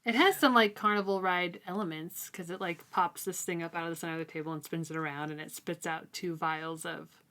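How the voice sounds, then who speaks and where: A woman co-hosting a casual, informal book discussion, talking in a halting, conversational way. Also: fairly low pitch, steady tone, fairly bright tone. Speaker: co-host of a book read-along discussion.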